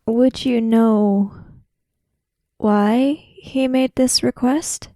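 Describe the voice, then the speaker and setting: Woman as a very slow-spoken, reserved young samurai. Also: a little high, fairly even intonation, mellow, no echo, studio quality. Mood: polite, inquiring. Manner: polite, formal, reserved.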